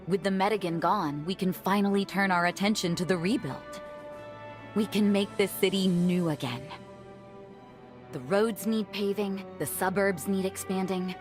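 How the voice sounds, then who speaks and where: A woman playing a confident, warm and teasing leader of a sunken city in a video game cutscene. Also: mid-range voice, natural voice. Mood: playful, eager. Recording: music behind the voice.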